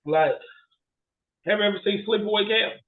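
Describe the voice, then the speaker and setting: Male podcast co-host, high voice, rambling and animated, casual conversational delivery. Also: moderate pace, narrow, tinny audio. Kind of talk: casual chat about bad movies.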